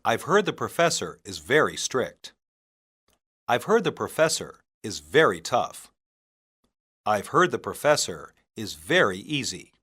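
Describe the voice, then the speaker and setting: Slow, deliberate man reading lesson phrases. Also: mid-range voice, very animated, sharp tone, breathy edge. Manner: deliberate, separated phrases for learners. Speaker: English teacher reading practice phrases. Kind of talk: scripted language-lesson reading.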